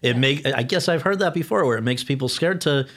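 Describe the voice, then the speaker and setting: A man hosting a podcast interview, asking questions and chiming in with quick affirmations. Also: mid-range voice, fairly bright tone. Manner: eager, affirming with quick yeahs. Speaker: podcast host. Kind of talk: conversational interview.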